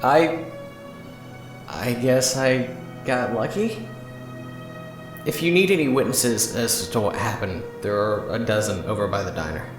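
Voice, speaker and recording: A man's voice: medium pitch, unhurried, long pauses, natural voice. An actor playing an earnest young man who works at a diner, questioning, in an audio drama. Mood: innocent. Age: young adult. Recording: echoey, faint music underneath. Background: faint music.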